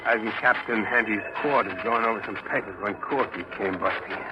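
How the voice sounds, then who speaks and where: A man's voice: lowish voice, brisk, loud music behind the voice, natural voice. An actor playing a weary, skeptical, plain-spoken first mate telling his tale in a 1940s radio mystery. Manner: plain-spoken, slangy storytelling. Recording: muffled 1940s radio recording.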